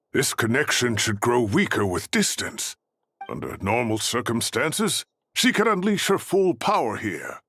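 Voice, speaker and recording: An actor playing an earnest, analytical scholar in a video game, formal and explanatory. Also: male voice, medium pitch, fairly bright tone, no room sound, exaggerated voice. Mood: grave urgency. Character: earnest, worried scholarly man, analytical advisor.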